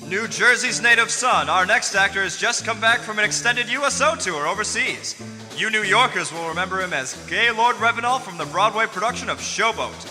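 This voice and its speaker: A man's voice: sits high, expressive, brisk, bright, forceful tone, heavily acted. A man playing a showy 1940s radio announcer, formal and grandly projected like a showman. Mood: grand, welcoming. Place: theater stage before live audience. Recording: echoey theater hall, music behind.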